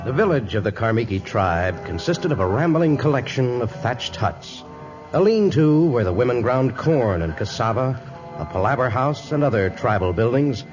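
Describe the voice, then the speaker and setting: A man narrating a 1950s radio drama in a measured, portentous scripted style. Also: mid-range voice. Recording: dull old radio recording, reverb, music behind.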